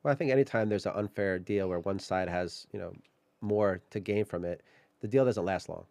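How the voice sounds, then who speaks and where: A man with a very deep voice speaks quickly in a dry, close recording. He sounds like a podcast host in casual conversation with a guest. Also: vocal fry, a little mellow, no echo. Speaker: podcast host, political candidate. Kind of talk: casual conversational interview talk.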